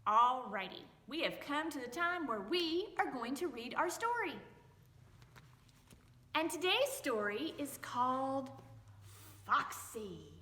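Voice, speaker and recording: A woman reads a children's story aloud in a high, very animated voice. She takes her time, stretching words for suspense in a theatrical way. The room adds a noticeable echo. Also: slow pace. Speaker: library storytime host and storyteller. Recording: echoey reverberant room.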